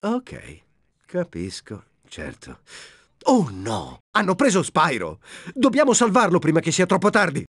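Wildly animated, cocky young cheetah hero. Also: male voice, sits high, measured pace, husky, no echo, clean studio sound, exaggerated voice. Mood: worried. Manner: breezy, boastful, expressive. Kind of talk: animated video game voice acting.

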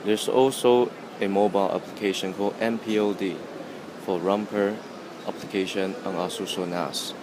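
A man's voice: sits low, fairly even intonation, normal speed. A man narrating a product demonstration as its presenter. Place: indoor trade show demo room. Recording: noisy room, air-conditioning hum.

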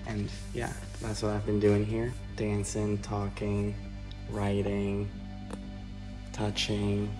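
A young man from Costa Rica, a dancer, reflecting in a searching way with frequent pauses and fillers. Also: lowish voice, completely flat delivery, over music, low-quality recording. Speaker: dancer and collaborator. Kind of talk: reflective interview talk.

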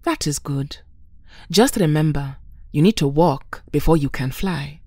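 Stately heroine actress: deep for a woman, poised and formal, close dry sound. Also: animated, unhurried, darkish tone, no room sound. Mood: calm, caring. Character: dignified, calm female weather-wielding superhero. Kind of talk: audio drama acting.

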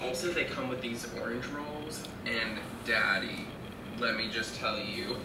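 A man's voice: mid-range voice, measured pace. A nineteen-year-old man talking casually and sarcastically while driving, recorded in a car by a dashboard camera. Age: teens. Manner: chatty, sarcastic, animated. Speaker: vlogger. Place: inside a moving car. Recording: roomy car cabin, distant mic, loud road noise. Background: road noise.

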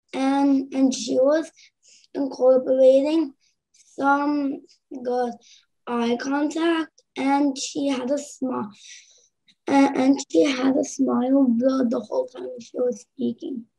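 A young woman speaks at a podium microphone, giving a keynote in a high voice with a gravelly edge. She talks slowly and in a measured way, repeating words as she explains. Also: mellow, low-quality recording. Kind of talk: conference keynote commenting on video clips. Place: conference hall stage at a podium.

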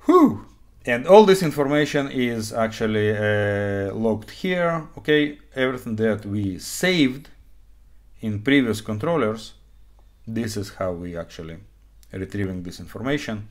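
A man, an English speaker explaining code informally and haltingly as an instructor in a live tutorial. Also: on the low side, animated. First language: not English; also speaks Hebrew, Russian and Ukrainian. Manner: halting, frequent okay check-ins, non-native phrasing. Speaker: programming instructor. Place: small indoor room, webcam screen recording.

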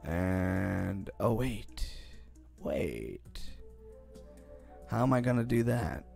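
A young man with a raspy morning voice giving casual let's-play commentary as he plays, often groaning in frustration at the game. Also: sits low, lively intonation, very slow, warm tone, faint music behind. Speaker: gaming channel host.

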